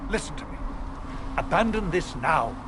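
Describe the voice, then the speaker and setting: Bitter official, loud noise behind. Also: male voice, on the high side, sing-song, moderate pace. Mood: disapproving, bitter. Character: stern, disapproving male official, bitter and insistent. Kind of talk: dramatic fantasy game acting.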